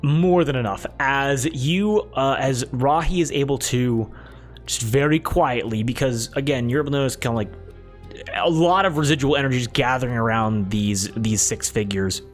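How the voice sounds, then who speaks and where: Game master narrating over background music. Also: male voice, mid-range voice, normal speed. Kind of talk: improvised tabletop game narration.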